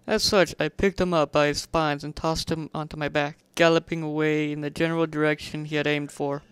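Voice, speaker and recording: A narrator giving a first-person dramatic story reading in an animated way, sometimes stumbling and rereading lines. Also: medium pitch, brisk, smooth, no room sound. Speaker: narrator reading a fan fiction.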